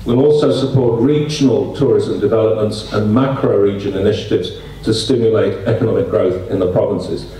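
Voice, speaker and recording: Low-voiced New candidate. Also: male voice, warm tone, slight background noise. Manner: measured, reads policy then chats. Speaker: political candidate. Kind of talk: candidate forum answer. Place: community centre hall. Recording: echoey hall, distant mic.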